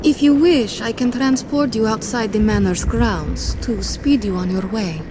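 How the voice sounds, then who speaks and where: A woman's voice: a little high, unhurried, noisy background, lightly acted. An actress playing a genteel lady of a manor in a video game, polished, condescending and playfully courteous. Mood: mock-gracious. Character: genteel, coyly mocking lady of a gloomy manor. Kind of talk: video game character acting.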